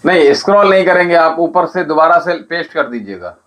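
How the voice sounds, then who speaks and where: A man who teaches spirituality and Ayurveda, answering viewers' comments on a livestream and calling each of them by name in a measured, instructive way. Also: on the high side, very animated, fairly bright tone. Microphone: livestream setup.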